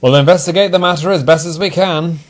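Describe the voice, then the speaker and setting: A man's voice: fairly high pitch, quick pace, voice pushed up, natural voice. A man voicing a suspicious, official-sounding policeman in a children's story. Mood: matter-of-fact. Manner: questioning, official. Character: suspicious, businesslike male policeman. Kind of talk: children's storybook character acting.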